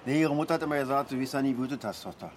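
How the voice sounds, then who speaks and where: An actor playing an easygoing middle-aged father in a radio drama, rambling haltingly with a Berlin accent. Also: mid-range voice, lightly acted. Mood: reminiscing. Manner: colloquial, halting, chatty. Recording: clear street and traffic noise.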